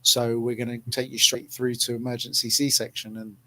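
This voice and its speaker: A man hosts a casual podcast chat. He tells stories in a relaxed, chatty way and laughs often. His voice sits at a middling pitch. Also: moderate pace, low-quality recording. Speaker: podcast host.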